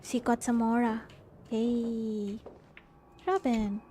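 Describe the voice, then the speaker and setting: High-voiced Indian woman streaming a game. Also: little pitch movement, slow, mellow, a little hiss or hum. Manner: switches between English and Hindi. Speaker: game livestreamer. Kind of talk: casual gameplay commentary, reading along. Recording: game music behind voice. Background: video game music.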